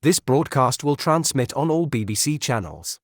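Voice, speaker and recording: A man playing a grave emergency broadcast announcer, reading a scripted bulletin in a formal, measured way. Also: mid-range voice, animated, no echo, studio quality, lightly acted.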